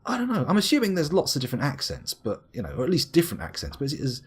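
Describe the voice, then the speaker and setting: A man's voice: medium pitch, rapid-fire, breathy edge. An English man streaming a game live, rambling and joking as he reads his chat aloud. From England. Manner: rambling, chatty, self-mocking, lively swings into exclaimed bits. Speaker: gaming streamer reading chat.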